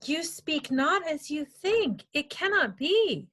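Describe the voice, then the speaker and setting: A woman's voice: high voice, lively intonation, takes it slowly, a bit bright, exaggerated voice. A woman playing a bewildered, lovelorn young woman in an amateur Shakespeare verse table read.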